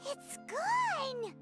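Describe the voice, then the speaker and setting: A woman voicing a tiny, excitable fairy companion in a video game, squeaky, childlike and wide-eyed. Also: full character voice. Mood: amazed. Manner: exclamatory, naive, wide-eyed.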